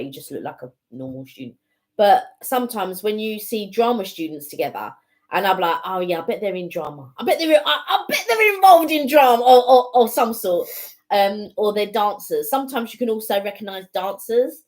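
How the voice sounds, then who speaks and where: A woman's voice: mid-range voice, animated, brisk, a little mellow. Musing woman co-hosting a stream. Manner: musing and talkative, with fillers like "you know" and "like". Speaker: co-host of a live stream. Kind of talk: casual live-stream chat. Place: home room with a heater.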